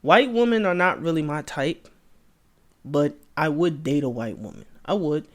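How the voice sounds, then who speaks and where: A laid-back man with a fairly high voice, chatting through a reaction video. He talks straight to the viewer in a loose, casual way and likes to repeat his points. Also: animated, normal speed. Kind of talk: casual commentary.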